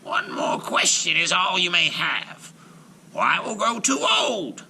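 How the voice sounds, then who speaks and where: A man voicing a bossy, menacing old witch in a storybook, drawn-out and commanding, shifting between harsh whispers and shrieks. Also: high-pitched, big pitch swings, vocal fry, sharp tone, a little hiss or hum, voice pushed up, exaggerated voice. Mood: scolding, menacing.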